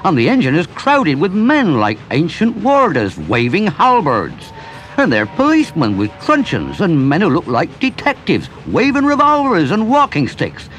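A man's voice: sits high, huge pitch swings, moderate pace, soft background music, low-quality recording. A man voicing a kindly but stern middle-aged engine driver, bluff and hearty. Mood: urgent.

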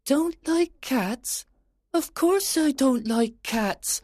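Small indignant mouse, squeaky and slow, played by a male reader. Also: animated, a little mellow, dry recording, clean studio sound, heavily acted. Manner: huffy, indignant.

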